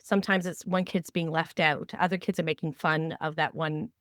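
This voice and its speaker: A woman hosting a podcast, talking conversationally and explaining with animated examples. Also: deep voice, normal speed, no room sound, studio quality. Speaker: podcast host. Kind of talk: conversational training discussion.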